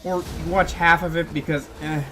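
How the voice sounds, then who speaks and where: A man's voice: on the high side, level tone, moderate pace, a bit bright, soft background music. A man giving casual, opinionated film commentary in a blunt, sardonic way. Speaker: video essayist reviewing films.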